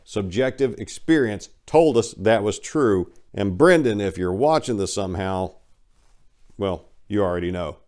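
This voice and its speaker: A man's voice: fairly low pitch, a little mellow. A man telling a personal story in a blunt, folksy, slangy way.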